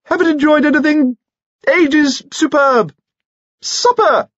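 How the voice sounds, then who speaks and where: Squeaky, excitable lord. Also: male voice, takes it slowly, no room sound, lo-fi sound, exaggerated voice. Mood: delighted, gushing. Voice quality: squeaky, very high-pitched. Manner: gushing, exclamatory. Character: excitable squeaky old English lord, beaming and jolly.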